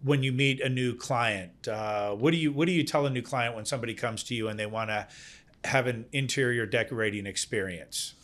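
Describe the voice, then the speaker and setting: A man from Denmark hosting a podcast, asking friendly questions with quick restarts. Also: medium pitch, fairly bright tone. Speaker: podcast host. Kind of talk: casual interview chat.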